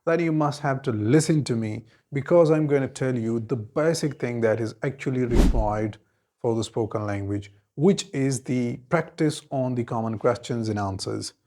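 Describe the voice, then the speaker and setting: A man, teaching an English lesson and giving clear, deliberate model answers, each repeated in several forms. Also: medium pitch, warm tone. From Khyber Pakhtunkhwa, Pakistan. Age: 20s.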